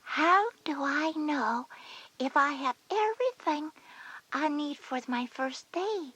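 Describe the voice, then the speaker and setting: A woman playing a breathy, whispery, anxious little kindergarten girl in a children's educational audio drama. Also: high voice, lively intonation, takes it slowly, pushed and bright, no room sound, heavily acted, rough recording. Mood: anxious, wondering.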